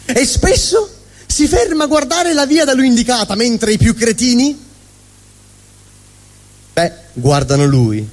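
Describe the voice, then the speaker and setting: A man's voice: extremely high pitch, very animated, unhurried, long pauses, full character voice. A man performing a theatrical comic stage monologue as an angry, vulgar but honest middle finger, ranting sardonically. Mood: sardonic, scornful. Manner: ranting, sardonic, swooping between mutter and shout. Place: live stage at a fundraising event. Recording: echoey hall reverb, live stage.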